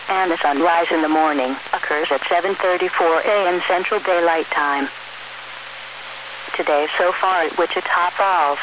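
Higher-pitched synthetic American man, noisy radio. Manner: formal, impersonal synthesized reading. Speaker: weather radio announcer. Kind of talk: automated scripted weather report. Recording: noisy weather radio broadcast.

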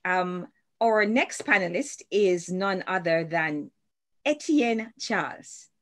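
A woman's voice: fairly low pitch, lively intonation, takes it slowly. A woman moderating a panel on a video call, introducing speakers in an upbeat, effusive way.

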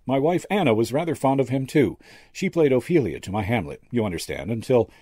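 A man voicing a kindly old medium and former actor, gentle, courtly and theatrical, in an audiobook story. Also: medium pitch, lively intonation, fairly quick, slightly rough, dry recording, clean studio sound, pitched above the performer's own voice. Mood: wistful, proud. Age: older. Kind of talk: character dialogue in an audiobook story.

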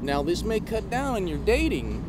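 A man's voice: high voice, very animated, normal speed. An older man, a water coalition director, bantering and giving blunt advice in a park. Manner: jokey, gives blunt advice. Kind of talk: casual interview banter. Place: outdoors in a city park. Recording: outdoor noise behind him.

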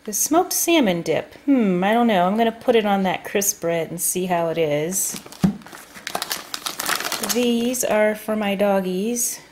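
A woman vlogger casually talking through her grocery haul at home, unhurried with frequent ums. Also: mid-range voice, lively intonation, noisy background. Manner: chatty, unhurried, many ums. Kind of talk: casual food haul narration. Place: home kitchen table.

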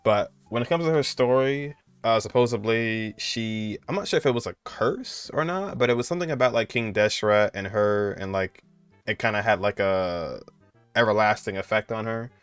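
A man in his twenties reacts casually to a game trailer in an informal, chatty style. His voice is fairly low and smooth, with some music playing behind him. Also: moderate pace, a bit bright, no echo.

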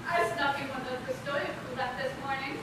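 High-voiced eager office girl played by a woman. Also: steady tone, brisk, lightly acted. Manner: earnest, projected stage delivery. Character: eager, hopeful young female office girl. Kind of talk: amateur stage play acting. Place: large indoor theater stage, far camera. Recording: echoey stage from far off, loud noise.

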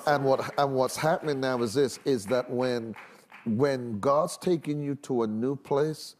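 A man's voice: mid-range voice, measured pace, a little mellow. Emphatic middle-aged male minister explaining. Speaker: minister and panel guest. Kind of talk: preaching-style panel talk on a religious TV show. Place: large TV studio set with audience.

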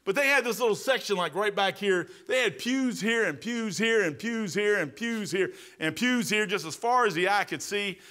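Middle-aged male pastor, high bright voice, emphatic and conversational, church sanctuary. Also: normal speed, few pauses. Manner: emphatic, conversational preaching with repetitions and restarts. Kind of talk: sermon. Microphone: pulpit microphone, far camera.

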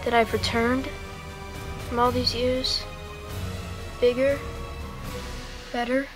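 A woman voicing a smug male robot villain who gloats about his return in a low, hushed way in a homemade toy stop-motion film. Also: level tone, slow pace, over music. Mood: gloating.

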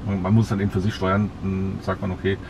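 Hesitant low-voiced man in a car. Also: little pitch movement, dull audio, noisy background. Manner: hesitant, many fillers, slow and careful. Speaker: car owner demonstrating his car. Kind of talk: casual explaining. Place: inside a parked car.

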